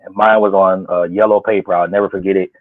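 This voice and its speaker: A man's voice: low voice, level tone, fast talker, no room sound. A man guest poet talking in a laid-back, grateful way while telling his story. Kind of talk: casual interview talk. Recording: phone-like, dry line.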